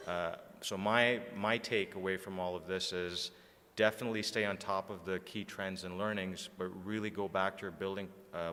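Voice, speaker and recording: Male conference speaker, low and bright-edged, presenting in a reverberant hall. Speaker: media agency planner, conference speaker. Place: large indoor conference room, on stage. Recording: echoey hall, long reverb.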